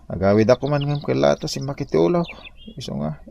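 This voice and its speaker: A man with an Ilocano accent playing an ailing, stubborn village husband in a comedy drama, with a gravelly voice. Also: a little high, animated, fairly quick, mellow, slight background noise.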